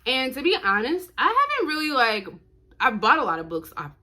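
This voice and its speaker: A woman's voice: high-pitched, wildly swooping pitch, fairly quick, sharp tone. A woman talking casually as a book vlogger, emphatic, often answering her own questions in short blunt bursts. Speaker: book reviewer, vlog host. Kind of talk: casual chatty book review vlog.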